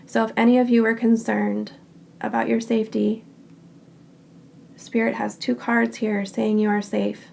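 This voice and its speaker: A woman giving a tarot reading in short, unhurried phrases. Her voice is raspy and hoarse because she is getting over a cold. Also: on the high side. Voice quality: raspy, scratchy throat. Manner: calm and reassuring, speaks in short unhurried phrases. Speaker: tarot card reader. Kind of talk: pick-a-card tarot reading.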